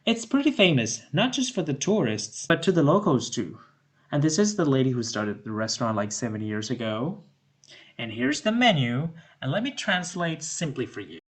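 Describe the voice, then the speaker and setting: Animated, high-pitched sassy Thai man. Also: moderate pace, echoey room. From Thailand. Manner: sassy, mock-exasperated, dramatic. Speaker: host of a food reaction channel. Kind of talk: sarcastic reaction commentary.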